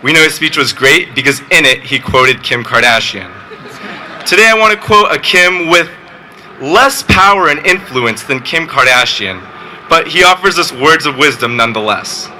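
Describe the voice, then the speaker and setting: A man's voice: on the high side, normal speed, slight background noise, low-quality recording. Teen boy giving a joking graduation speech. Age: teens. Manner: joking, deadpan delivery. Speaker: valedictorian. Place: outdoor graduation ceremony.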